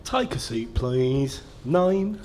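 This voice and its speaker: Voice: medium pitch, animated, drawn-out words, a little mellow. A performer playing a dry, impatient male careers advisor, curt and officious, in a comic radio sketch. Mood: dry impatience.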